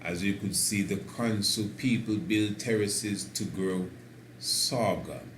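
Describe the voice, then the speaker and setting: A man hosting a talk, speaking slowly and emphatically and building to excited declarations. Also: on the low side. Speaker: host. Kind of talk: preaching talk. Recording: roomy echo with faint noise.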